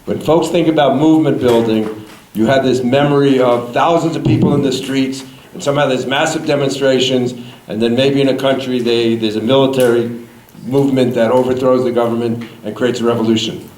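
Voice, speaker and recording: A man's voice: medium pitch, fairly even intonation, a little fast, darkish tone. A man on a community panel, speaking in an earnest, reflective way. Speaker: magazine panelist. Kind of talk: panel discussion. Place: public event hall. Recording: echoey room, faint noise.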